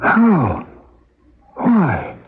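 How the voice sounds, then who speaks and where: A perplexed, hesitant father with a husky, high voice that swings in pitch. It is old radio drama, muffled and narrow in sound. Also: wildly swooping pitch, very slow, exaggerated voice. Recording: muffled vintage radio recording.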